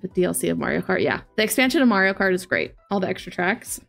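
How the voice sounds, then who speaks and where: A woman's voice: on the low side, lively intonation, fast talker, vocal fry, a bit bright, faint music behind. A woman in her late twenties chatting casually as a livestream host, talking to viewers and reading chat aloud into a close desk microphone. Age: late 20s. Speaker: video game streamer, host. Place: home living area, indoors. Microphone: large desk microphone up close, headset on.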